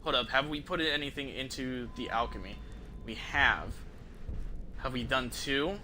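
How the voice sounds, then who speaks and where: A man livestreams gameplay in a very bright, forceful voice of middling pitch. He talks casually to viewers in loose slang with plenty of swearing. There is faint background noise behind him. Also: a little slow. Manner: profane, slangy. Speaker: video game livestreamer.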